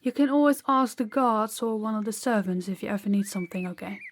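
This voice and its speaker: A woman's voice: mid-range voice, fairly even intonation, close to an everyday voice. Woman acting guarded young princess, birdsong noise behind. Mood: polite, awkward. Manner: measured, formal, slightly awkward small talk. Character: proud, guarded young princess, formal but softening. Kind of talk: intimate audio roleplay acting addressed to the listener.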